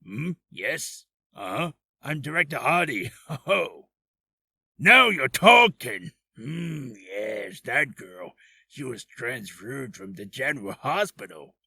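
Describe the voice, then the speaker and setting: A man's voice: medium pitch, big pitch swings, slow, creaky, bright, forceful tone, breathy edge, dry recording, clean studio sound, exaggerated voice. A man voicing an odd, smug, evasive hospital director who hums and drawls his asides, for a character reel.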